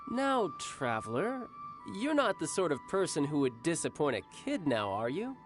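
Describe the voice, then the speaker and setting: Brief: a cocky, easygoing young warrior, teasing and smooth, with a lively, lilting read. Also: male voice, on the high side, moderate pace, over music. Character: cocky young male warrior, doting older brother, playful. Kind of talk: game cutscene voice acting.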